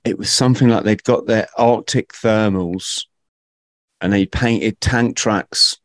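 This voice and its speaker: A middle-aged host with a lowish voice chats in relaxed banter, tossing in jokey asides and frequent laughs. His studio mic at home gives a dry, close sound. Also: takes it slowly, dry recording. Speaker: podcast host. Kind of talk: casual interview chat. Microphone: studio mic with headphones.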